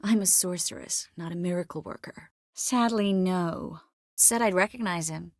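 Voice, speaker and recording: An actress playing a young sorceress in a fantasy video game, caring, wry and passionate, with an expressive, clearly articulated, intimate delivery. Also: female voice, on the low side, moderate pace, lightly acted. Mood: matter-of-fact, wry.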